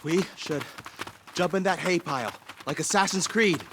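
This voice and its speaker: A man's voice: a little high, normal speed, noisy background, natural voice. An actor playing a nerdy, awkward, eager teenage boy in an audio drama. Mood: eager, sheepish.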